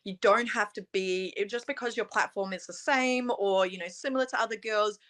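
A woman's voice: mid-range voice, a little fast, fairly bright tone. Chatty young woman from Australia. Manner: chatty, earnest, lots of fillers like "you know" and "um". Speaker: interview guest, pageant titleholder. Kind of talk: casual interview chat.

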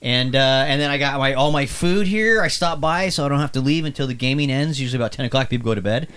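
A man's voice: mid-range voice, fast talker, smooth. A man co-hosting a podcast, telling rambling, profane stories in casual banter. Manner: animated, swearing, rambling storyteller. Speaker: podcast co-host.

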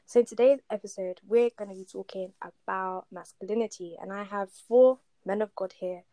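A woman's voice: medium pitch, moderate pace, warm tone, no room sound. A woman hosting a podcast in an informal, conversational way. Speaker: podcast host.

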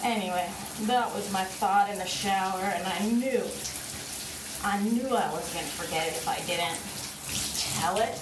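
A woman's voice: mid-range voice, normal speed. A woman in her thirties casually rambling to her listeners in a wry, self-deprecating, hesitant way, swearing freely. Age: 30s. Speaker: vlogger. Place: in a bathroom shower. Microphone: phone at some distance. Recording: roomy, distant mic, running shower water noise. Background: running shower water.

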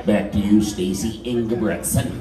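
A man's voice: lowish voice, fairly even intonation, moderate pace, noisy background. A middle-aged man with a Wisconsin accent doing stand-up, full of swearing and self-mocking jokes. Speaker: comedian. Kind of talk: stand-up comedy bits. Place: large hall. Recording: roomy hall, distant mic, loud crowd.

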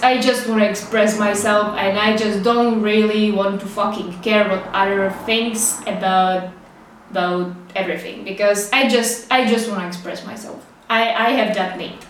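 A young Czech woman chats slowly to her camera, full of fillers and little laughs. She sounds set back from the mic in a bare, strongly echoing room. Faint traffic hums from outside. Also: mid-range voice. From Czech Republic. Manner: chatty, rambling, laughs often, fillers like "like". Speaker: vlogger. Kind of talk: casual monologue to camera. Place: small studio with street traffic outside. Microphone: camera's built-in mic, no external microphone. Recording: roomy, distant camera mic with faint street noise.

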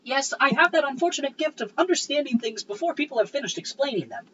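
A woman playing a proud, quick-tempered lady in a cold read of a comic play, theatrical and self-satisfied. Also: high voice, expressive, fairly bright tone. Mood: haughty. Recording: dull recording with faint background noise.

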